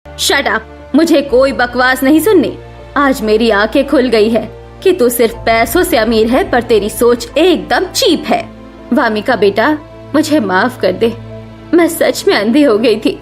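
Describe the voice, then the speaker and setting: A woman playing a stern, sharp-tongued middle-aged mother-in-law in a cartoon family melodrama, speaking emphatically. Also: high-pitched, animated, measured pace, soft background music. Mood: remorseful. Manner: scolding, emphatic.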